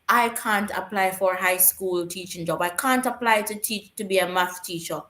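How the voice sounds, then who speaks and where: A woman's voice: mid-range voice, fairly bright tone, reverberant. A woman co-hosting a live stream, answering viewers' questions about teaching jobs and repeating her key points to stress them.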